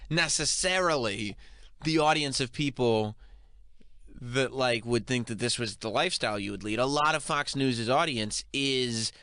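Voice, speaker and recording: A man's voice: mid-range voice, moderate pace, pushed and bright, clean tone, dry recording. A man who is a guest on the podcast and a morning radio host, teasing and joking, often acting out voices of other people. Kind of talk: casual interview chat.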